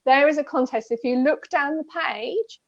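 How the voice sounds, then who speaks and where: A woman's voice: high voice, normal speed, dry recording, highs cut off. A woman co-hosting a casual spiritual chat, explaining at length with many restarts. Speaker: co-host.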